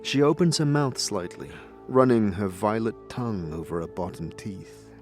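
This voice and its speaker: A man's voice: lowish voice, expressive, moderate pace, darkish tone, faint music behind. A man narrating a scripted fantasy story in the second person, describing a scene as narrator. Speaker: narrator and game character reader.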